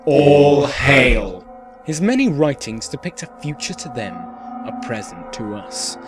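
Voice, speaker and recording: A man's voice: medium pitch, sing-song, takes it slowly, over music. A man playing a cheerful yet sinister dystopian radio host, delivering scripted propaganda in a theatrical radio-play style. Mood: reverent, grand. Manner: theatrical, dramatic, swinging from grand proclamation to hushed menace. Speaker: radio announcer.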